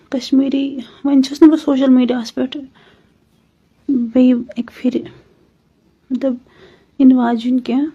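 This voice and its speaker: A woman's voice: high voice, dark tone, husky, lo-fi sound. A woman with a Kashmiri accent making a pleading personal appeal in a recorded phone message, often repeating her requests.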